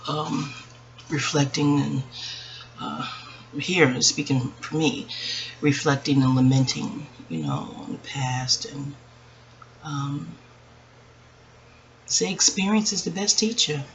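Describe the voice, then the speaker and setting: A woman in her forties talking to her viewers in a hushed, rambling, reflective way, hesitating and digressing as she goes. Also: low voice, expressive, takes it slowly, long pauses. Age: 40s. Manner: hushed, keeping voice down so as not to wake others. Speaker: video channel host. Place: at home, quiet dark room. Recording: dull lo-fi audio with faint background noise.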